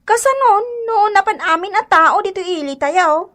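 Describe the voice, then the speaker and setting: Woman acting very shrill, giggly, teasing young woman. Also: lively intonation, moderate pace, fairly bright tone. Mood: curious, excited. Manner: giggly, exclaiming. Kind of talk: comedic radio drama acting. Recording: noisy track with clicks.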